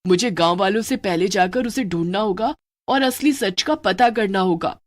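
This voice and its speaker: A woman's voice: mid-range voice, moderate pace, lightly acted. A woman voicing a loyal female witch who scolds her friend with urgency. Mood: worried. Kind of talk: cartoon voice acting.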